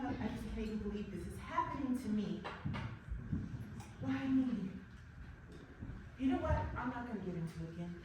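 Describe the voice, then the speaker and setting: An actress playing a devout woman facing breast cancer, talking to herself in a questioning, praying way. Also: high-pitched. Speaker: woman awaiting a cancer consult. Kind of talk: inner-monologue acting. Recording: very echoey, distant, noisy.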